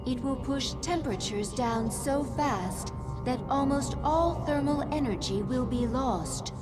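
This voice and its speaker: A woman voicing a devoted alien servant creature in a game, measured, formal and reverent. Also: high-pitched, loud music behind the voice. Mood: solemn, advisory. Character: alien female servant creature, solemn, devoted to her queen. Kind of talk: dramatic game cutscene acting.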